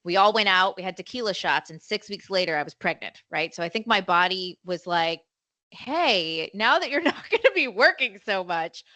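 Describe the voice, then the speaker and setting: A woman in her forties, telling her story as a podcast guest in a chatty, animated way. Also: medium pitch, normal speed, no echo. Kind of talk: casual storytelling interview.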